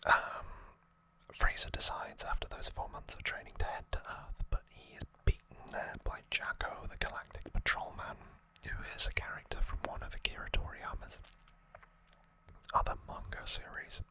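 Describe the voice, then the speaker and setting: An ASMR host whispering a conversational recap in a breathy, unvoiced, hushed voice. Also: normal speed, rough recording.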